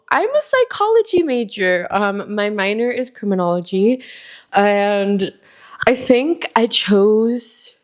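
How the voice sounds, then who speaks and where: A woman's voice: mid-range voice, darkish tone. Chatty young woman rambling casually. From Miami, Florida. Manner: chatty, rambling, with frequent ums and likes. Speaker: podcast host. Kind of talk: casual solo chat.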